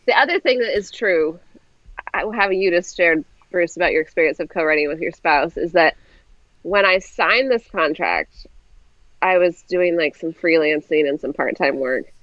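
A woman's voice: on the low side, normal speed, a bit bright, dry recording. Woman guest on dull dry call audio. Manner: thoughtful, hedging with fillers. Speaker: guest, co-author. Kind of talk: casual interview chat. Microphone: video call.

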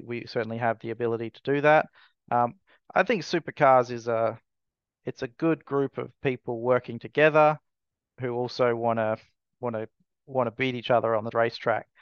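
A man's voice: medium pitch, smooth, dry recording, muffled recording. A man, answering interview questions as a racing team owner in a hesitant way, full of fillers and restarts.

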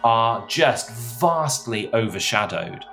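A male analyst giving expert commentary in an interview, measured and emphatic. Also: medium pitch, animated, creaky, sharp tone, soft background music.

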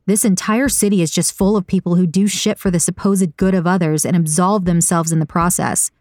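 A woman voicing a cynical, brooding, stubborn man in an audiobook, blunt and insistent in a low-key way. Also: steady tone, fairly quick, darkish tone, no room sound, studio quality, lightly acted. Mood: scornful. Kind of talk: audiobook character dialogue.

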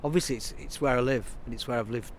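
A man's voice: mid-range voice, steady tone, fast talker, a little hiss or hum. A man, talking as an interview guest in a rambling, reflective way with many restarts. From Burnley, Lancashire, England. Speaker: interview guest, writer and songwriter. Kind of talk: casual interview conversation.

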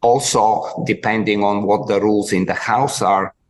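A man with a Bulgarian accent hosting a podcast interview, talking haltingly with many restarts as he searches for words. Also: fairly low pitch, steady tone. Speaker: podcast host, dog trainer. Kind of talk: conversational interview.

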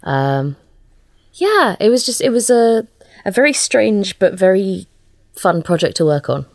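A woman talking casually as an interview guest, halting now and then as she searches for her words. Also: medium pitch, very animated, dry recording, clean studio sound. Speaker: interview guest, audio drama writer.

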